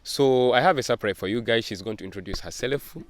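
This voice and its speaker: A man's voice: medium pitch, fast talker. A man hosting a casual interview for his YouTube channel, who eagerly repeats his guest's answers back to her.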